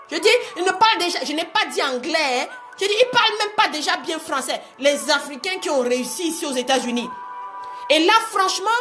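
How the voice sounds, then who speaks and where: A woman coaching online viewers in an animated, exhortative live talk, repeating phrases and asking rhetorical questions for emphasis. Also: extremely high pitch. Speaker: motivational coach hosting a livestream.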